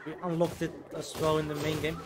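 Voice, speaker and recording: A man's voice: fairly high pitch, completely flat delivery, a little fast, background noise. A man giving casual gameplay commentary as a let's player. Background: game music.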